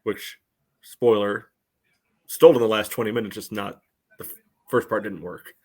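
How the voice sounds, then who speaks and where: A man with a lowish voice talks through soccer tactics on a podcast. His pitch stays very even, and his manner is measured and dryly wry, in informal conversation. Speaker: sports podcast panelist. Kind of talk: casual soccer analysis chat.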